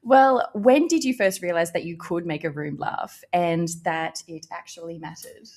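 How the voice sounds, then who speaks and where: A woman hosting a podcast who asks her guest questions in a calm, measured way. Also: lowish voice. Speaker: podcast host. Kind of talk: interview questions.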